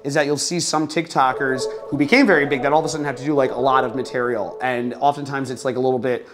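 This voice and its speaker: A man's voice: medium pitch, fairly even intonation, fast talker. A man who works as a comedian, chatting in an interview in a playful, joking way. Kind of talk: casual interview.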